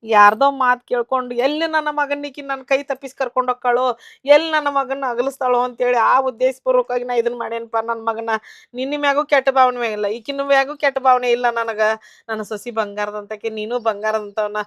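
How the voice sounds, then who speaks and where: A woman's voice: on the high side, steady tone, lightly acted. A middle-aged woman with a North Karnataka Kannada accent, playing a jealous, possessive mother-in-law who scolds her son and daughter-in-law. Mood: pleading, tearful. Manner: scolding, sharp, and quick to blame. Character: jealous possessive mother-in-law, quick-tempered, later remorseful. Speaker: actor. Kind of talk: village family drama acting.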